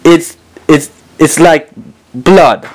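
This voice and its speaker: Slow, hesitant male prank caller. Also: on the high side. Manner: hesitant, halting, acting clueless and evasive. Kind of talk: prank phone call.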